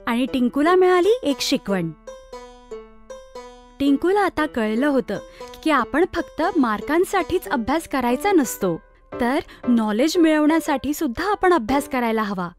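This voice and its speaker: A woman's voice: high-pitched, animated, measured pace, faint music behind. A woman narrating a scripted moral story for children.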